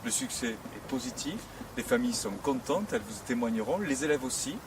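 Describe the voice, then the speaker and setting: A man's voice: medium pitch, big pitch swings, fairly quick. A man who heads a school, making an upbeat, persuasive appeal to families. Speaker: school head. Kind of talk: promotional appeal to camera. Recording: distant mic, street noise.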